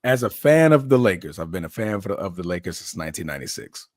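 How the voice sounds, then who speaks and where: Emphatic thirties male co-host, lively pitch, dry close sound. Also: fast, no echo. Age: 30s. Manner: emphatic, animated, repeats his points. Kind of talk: casual chat.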